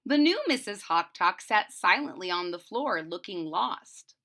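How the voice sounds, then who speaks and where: A woman storyteller reading a children's picture book aloud expressively, pausing to recap it in simple words. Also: medium pitch, lively intonation, sharp tone.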